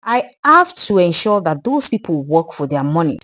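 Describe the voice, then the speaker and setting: A woman's voice: fairly low pitch, very animated, normal speed, fairly bright tone. A woman voicing an arrogant, stingy Nigerian businessman in a folktale, scornful, emphatic and colloquial, with a Nigerian accent. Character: arrogant, stingy, callous businessman, brother-in-law.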